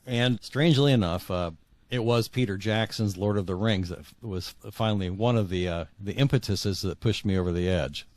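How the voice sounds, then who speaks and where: A middle-aged man with a low voice, recorded dry and close. He talks as an interview guest reflecting on his own life, halting and repeating words for emphasis. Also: darkish tone, no room sound. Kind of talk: reflective personal storytelling in an interview.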